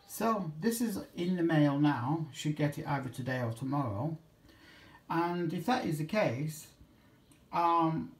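A man's voice: on the high side, a little mellow. A man giving a casual, unscripted demonstration in a hesitant, rambling way, correcting himself as he goes, recorded on a handheld phone in a home kitchen. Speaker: amateur radio hobbyist vlogger. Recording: echoey room, handheld camera.

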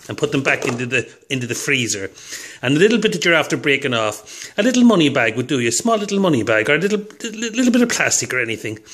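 A man's voice: medium pitch, fairly bright tone. A man casually explaining fishing tips at home while filming his hands on a phone, rambling and chuckling at his own jokes. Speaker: fishing video host. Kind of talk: casual how-to talk to camera.

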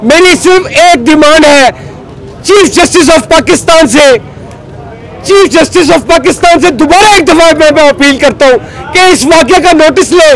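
A man's voice: very high voice, moderate pace, a bit bright, a faint crowd, rough recording. A middle-aged man, a market trader leader pleading in an agitated street interview into a handheld mic. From Pakistan. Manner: agitated, pleading, gesturing hard. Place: outdoors on a busy city street. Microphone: handheld reporter mic.

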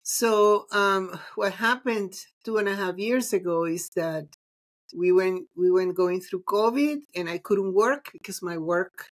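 A woman's voice: medium pitch, a little slow. A middle-aged woman from Venezuela, a psychologist and mindfulness teacher, talking reflectively with frequent ums as a guest on a video call interview. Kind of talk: casual interview conversation.

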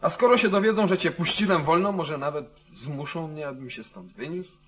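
Actor as a stern landowner, higher-pitched man. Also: moderate pace, fairly bright tone, close to an everyday voice. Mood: torn, hesitant. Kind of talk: 1940s Polish radio drama acting.

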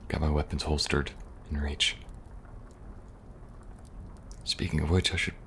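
Man acting as weary young male survivor, extremely low pitch, soft reassuring ASMR roleplay, protective, hesitant, faint background ambience. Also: level tone, unhurried, slight background noise. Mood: tired, protective. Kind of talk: ASMR audio roleplay, speaking softly to listener.

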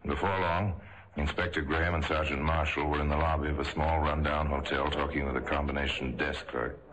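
A man narrating a vintage radio crime drama as a grave, deliberate storyteller. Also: a bass voice, flat delivery, a bit bright, rough recording. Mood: grave, dramatic. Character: grave storyteller narrating police murder cases. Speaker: narrator and host. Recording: vintage radio recording, faint music.

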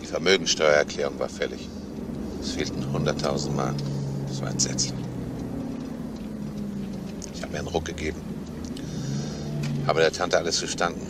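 An actor playing a despairing, self-justifying schoolteacher in a halting, brooding confession, in a crime radio play. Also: male voice, extremely low pitch, steady tone. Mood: ashamed. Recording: noisy old radio play recording.

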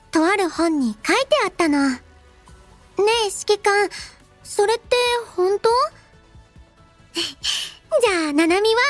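A woman voicing a cheerful, devoted robot girl in an anime game, childlike and playful, with a cutesy, expressive manner. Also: extremely high pitch, dry recording. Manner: cutesy, refers to herself in third person, expressive. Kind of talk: Japanese anime game character voice lines.

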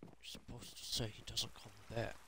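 A man's voice: mid-range voice, monotone, moderate pace, creaky, background noise. A man bantering casually and teasingly as he plays a roleplay game, with a sarcastic edge. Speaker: gamer in a roleplay series. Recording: game music behind the voice. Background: game music.

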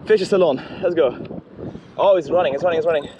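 A young man in his twenties, vlogging outdoors by a lake while fishing, talking excitedly and repeating himself as he reels in carp. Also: a little high, highs cut off, background noise. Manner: excitable, repeats himself, fast exclamations while reeling in fish. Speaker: angler vlogger.

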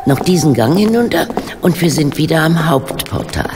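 An actress playing a resolute, proper elderly lady detective in a radio play, with a low, gravelly voice and a stern, composed, formal manner. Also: expressive, normal speed. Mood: calm. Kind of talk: German radio play acting. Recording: reverberant hall, faint background noise.

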